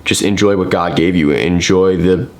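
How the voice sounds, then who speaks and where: A man's voice: sits low, normal speed. A 21-year-old man hosting his ski vlog, talking upbeat and straight to his viewers. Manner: upbeat, addresses viewers directly. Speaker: vlog host. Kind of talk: casual YouTube intro and interview.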